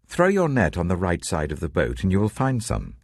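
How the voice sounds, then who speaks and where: A British man voicing Jesus as a calm, authoritative and gentle teacher in a Bible reading, slow, solemn and tender. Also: low-pitched, no room sound, clean studio sound, pitched above the performer's own voice, exaggerated voice. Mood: calm, inviting.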